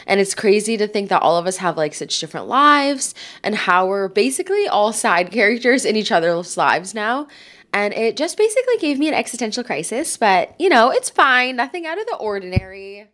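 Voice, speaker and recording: A woman in her late twenties chats on her own as a podcast host, rambling easily from one thought to the next. She sounds a little stuffed up from a cold and keeps dropping "like" into her sentences. Her voice sits at an ordinary middle pitch. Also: very animated, brisk, fairly bright tone. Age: 20s. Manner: chatty, rambling, says "like" a lot. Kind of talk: casual solo podcast chat.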